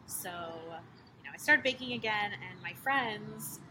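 A woman's voice: medium pitch, slow. A young woman from California, a home baker, talking casually with lots of "you know" and "like". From Costa Mesa, California. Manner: relaxed, many fillers like "you know" and "like". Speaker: small business owner, baker. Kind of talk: casual interview guest. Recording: noisy background.

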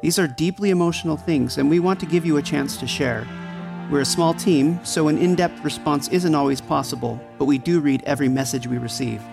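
A man's voice: on the high side, steady tone, brisk, creaky, over music. A man reading a scripted podcast outro in an earnest, supportive manner. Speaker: podcast cast member and announcer.

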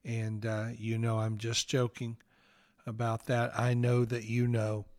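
A man in his fifties with a Southern accent, hosting a devotional podcast and speaking in an earnest, gently preaching way. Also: medium pitch, completely flat delivery, measured pace, no echo, studio quality. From Northeast Mississippi, US.

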